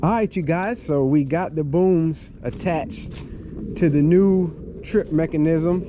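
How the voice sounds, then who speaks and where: A hoarse man with a cracking voice, chatting casually to his viewers on a farm vlog and often saying "you guys". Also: on the high side, slow, dull audio, heavy background noise. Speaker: farm vlogger. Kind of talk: casual vlog talk to camera.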